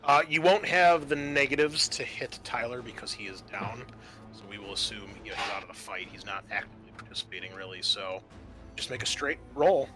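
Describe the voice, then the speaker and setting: A man's voice: lowish voice, lively intonation, fairly quick, sharp tone. A man acting as game master, explaining rules and narrating a tabletop roleplaying game in a matter-of-fact, conversational way. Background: background music.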